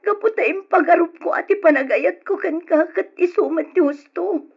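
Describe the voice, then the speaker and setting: High-voiced wronged Ilocano partner. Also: female voice, normal speed, gravelly. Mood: hurt, pleading. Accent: Filipino (Ilocano). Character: wronged, devoted woman reproaching her unfaithful partner. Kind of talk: Ilocano radio drama acting. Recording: dull old radio drama recording.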